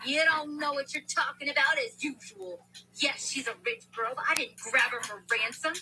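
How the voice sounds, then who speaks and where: A woman's voice: high-pitched, lively intonation, a little slow, sharp tone, a little hiss or hum, heavily acted, low-quality recording. A woman voicing a cold, scheming male kidnapper and gang boss who gives threatening orders. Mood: angry.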